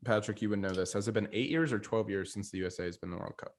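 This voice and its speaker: Lowish-voiced man chatting casually. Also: very fast. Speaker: podcast co-host. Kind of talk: casual sports chat.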